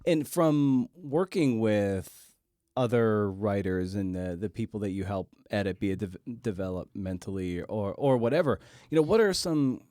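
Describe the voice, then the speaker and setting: A man with a mellow, fairly low voice in a dry, close recording. He hosts a casual interview, circling haltingly toward his questions. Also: lively intonation, no room sound. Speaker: podcast host, interviewer.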